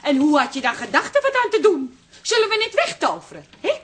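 A woman's voice: sits high, huge pitch swings, fairly quick, a bit bright, exaggerated voice. An actress playing a stubborn, fretful working-class woman, bluntly defensive, in a naturalistic radio drama. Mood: bitter, defensive.

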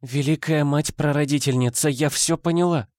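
A man's voice: on the high side, fairly even intonation, moderate pace, a little mellow, dry recording, studio quality, voice pushed up, natural voice. A man playing a shaken, bitter noblewoman, an aunt, in a fantasy audiobook with grave, dramatic delivery. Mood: horrified, bitter.

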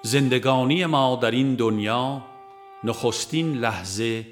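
A man narrating a spiritual audiobook in a measured, solemn way, phrase by phrase. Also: mid-range voice, faint music behind. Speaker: audiobook reader. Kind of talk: scripted devotional narration.

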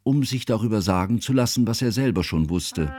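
Anxious scholarly guy narrating a radio drama, low voice, very formal, dry sound. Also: animated, fairly quick, warm tone, dry recording, soft background music. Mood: reflective, measured. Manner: formal, scholarly, ornate phrasing. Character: bookish, anxious man narrating his friend's life story.

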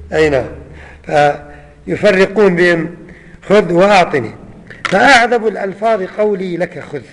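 A high-pitched man gives a religious lesson. He recites verses, repeats them and explains each one. His voice rings with the long reverb of a large hall. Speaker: Islamic scholar teaching.

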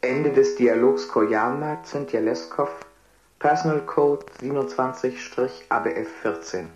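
Male actor as interrogation machine, dull lo-fi sound, loud plucked guitar music, impersonal, official, formulaic radio drama delivery. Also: mid-range voice, measured pace, natural voice. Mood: impersonal, formal. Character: impersonal interrogation computer voice, repetitive and formal. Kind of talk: German radio drama acting.

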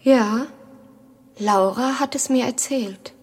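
An actress playing an enigmatic young noblewoman in a radio drama, cryptic and insistent, with a breathy, sometimes hushed voice. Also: fairly high pitch, slow pace, slight background noise. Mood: cool, haughty. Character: Camilla, enigmatic young noblewoman with hidden ancient past. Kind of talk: scripted German radio drama acting.

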